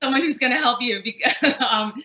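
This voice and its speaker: A woman's voice: fairly high pitch, normal speed, roomy sound, lo-fi sound, a crowd in the background. A woman who works as a language trainer, speaking earnestly in a webinar panel discussion, with many fillers and restarts.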